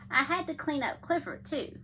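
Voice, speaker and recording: A woman reading picture books aloud to young children in an animated, engaging way, often addressing her listeners directly. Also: sits high, moderate pace, slight background noise.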